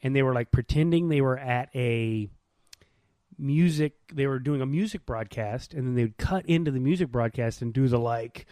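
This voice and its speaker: A man's voice: medium pitch, moderate pace, warm tone, no echo, studio quality. A man chatting casually as a podcast co-host, telling stories in an informal, slangy way. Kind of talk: casual chat.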